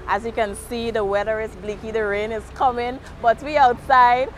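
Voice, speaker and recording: A woman's voice: fairly high pitch, steady tone, moderate pace. A woman with a Guyanese accent hosting a street interview, chatty and encouraging with her guest. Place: outdoors by a street junction. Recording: outdoor street noise.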